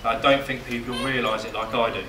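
A man's voice: medium pitch, little pitch movement, fast talker. Young man, groom's speech, heartfelt and joking, roomy echo, noisy, far off. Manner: emotional, voice catching at moments, joking. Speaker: groom giving a wedding speech. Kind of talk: reading a wedding speech. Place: wedding reception hall, indoors. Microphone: far camera, no mic. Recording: echoey hall, distant camera, loud noise.

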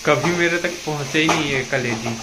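A very deep voice teasing the cook from off camera in a home kitchen. The frying pan sizzles loudly underneath every line. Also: female voice, a little fast. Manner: teasing, asks questions from off camera. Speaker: family member off camera. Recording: loud frying noise behind.

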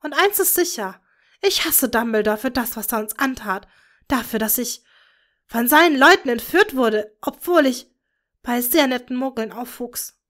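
A woman's voice: expressive, moderate pace, a bit bright. A woman voicing a shy, tearful young witch who stammers in a raised voice. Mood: earnest. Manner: stammering, high and eager. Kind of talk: fan fiction reading.

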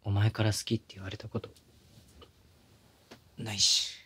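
A man's voice: fairly low pitch, little pitch movement, a little slow, pushed and bright, breathy edge, full character voice. Tender, smitten young boyfriend played by a man. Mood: hurt, apologetic. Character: smitten young boyfriend, apologetic, tender, intimate pillow talk. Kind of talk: intimate ASMR situation-voice drama.

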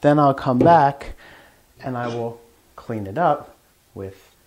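A man's voice: mid-range voice, animated, slow pace, muffled recording, background noise. A man hosting a woodworking show, explaining plainly as he works with hand tools in his shop. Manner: plain explanatory, talks while working. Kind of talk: hands-on tool demonstration.

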